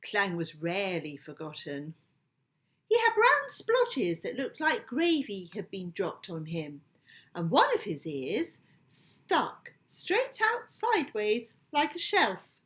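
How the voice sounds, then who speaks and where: A woman reading a children's story aloud in an expressive, playful storytelling manner. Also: mid-range voice, slow. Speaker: author reading her book.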